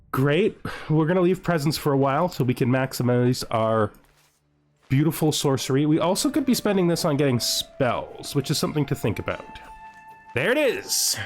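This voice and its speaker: A male gaming host with a slightly high voice talks through his moves as he plays. His intonation swings widely as he thinks aloud and slips into playful, gloating asides in character. Music plays faintly behind him. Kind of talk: casual gameplay commentary with playful in-character asides.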